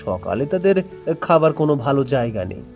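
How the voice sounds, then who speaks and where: A man voicing a determined, encouraging young village son in an animated cartoon story, earnest and resolute. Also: mid-range voice, fast talker, vocal fry, faint music behind.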